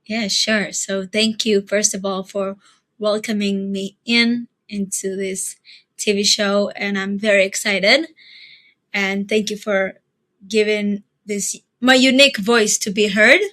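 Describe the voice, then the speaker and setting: A young woman from Ethiopia, answering interview questions as a guest, earnest and pausing to repeat words as she looks for them. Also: mid-range voice, steady tone, fairly bright tone. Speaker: guest, educator and activist.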